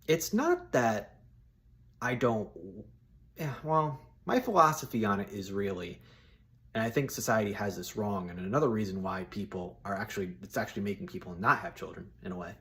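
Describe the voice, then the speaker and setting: Guy in his 30s w a lowish voice, just talking to viewers, blunt n informal. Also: very animated, moderate pace, fairly bright tone. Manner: informal, blunt, swearing, buddy-like address. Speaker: solo video host giving personal opinions. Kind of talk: casual chat to viewers.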